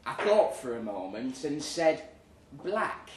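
A British man performs a comic story for a live audience with theatrical expression. His delivery is unhurried and swings widely in pitch. The recording is roomy, picked up from a distance in a pub space. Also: lively intonation. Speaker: reader at a live literary event. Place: pub event room, live before an audience. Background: faint room ambience, audience.

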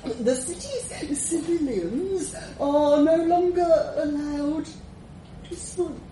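A woman's voice: high voice, expressive, takes it slowly, warm tone, pitched above the performer's own voice. A woman voicing a snivelling, terrified royal messenger who stammers and pleads in a storytelling performance. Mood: nervous pleading. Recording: echoey room, distant mic, faint noise.

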